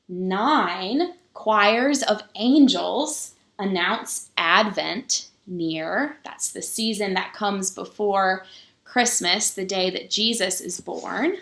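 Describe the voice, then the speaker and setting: A woman pastor reading a children's book aloud for story time, pausing to explain things simply to young listeners. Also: medium pitch, creaky, a bit bright. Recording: roomy, echoing sound.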